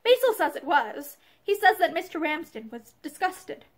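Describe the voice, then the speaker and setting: A proud young wife and mother, as a woman reader brings her to life, emotional and defiant, her high voice rising and falling sharply. She speaks with hurt and stubborn pride. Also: big pitch swings, normal speed. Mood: anguished.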